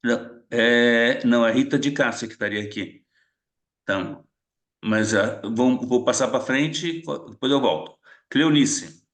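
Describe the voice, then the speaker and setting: A man's voice: medium pitch, unhurried. A man facilitating an online retreat meeting, calling participants by name and welcoming each one briefly. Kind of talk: casual online group meeting. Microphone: video call.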